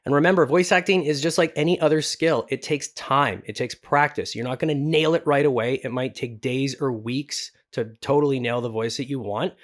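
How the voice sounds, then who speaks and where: A man's voice: medium pitch, brisk, hardly any pauses. A man hosting a tutorial as a voice actor, talking casually and informally with some self-deprecating humour. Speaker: host, voice actor giving a tutorial. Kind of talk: casual instructional talk.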